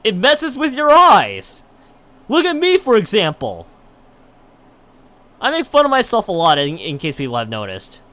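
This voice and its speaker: A man's voice: high-pitched, huge pitch swings, normal speed, long pauses, sharp tone. A man commentating on a game stream and reading game text aloud in a rambling way, often stumbling and correcting himself. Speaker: live streamer.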